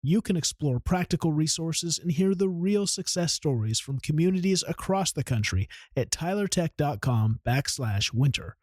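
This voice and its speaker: A man hosting a podcast about local government, reading a polished, earnest intro and then chatting warmly with his guest. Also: fairly high pitch, expressive, moderate pace, few pauses, no echo, studio quality. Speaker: podcast host. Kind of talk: scripted intro then conversational interview.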